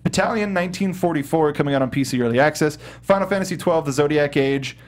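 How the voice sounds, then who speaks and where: Higher-voiced man hosting casual news chat. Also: fast. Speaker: gaming talk show host.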